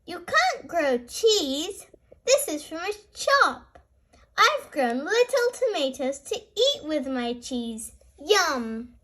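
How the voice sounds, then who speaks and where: A woman's voice: sits high, very animated, slow, a bit bright, full character voice. A woman voicing a tiny girl mouse in a storybook, cheerful, proud and matter-of-fact.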